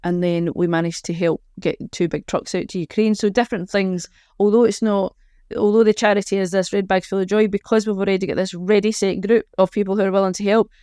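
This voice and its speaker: Scottish woman chatting on a podcast, clean smooth voice, pretty level pitch, no room echo. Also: level tone, a little fast, dark tone, clean studio sound. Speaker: charity organiser, podcast guest. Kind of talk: chatty interview answers.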